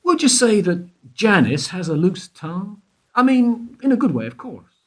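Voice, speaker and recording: An actor as a high-voiced, insinuating investigator. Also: male voice, sing-song, fairly bright tone, heavily acted. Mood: probing, insinuating. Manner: insinuating, leading questions with pointed pauses. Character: probing, self-satisfied male investigator questioning a suspect. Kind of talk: radio play dialogue.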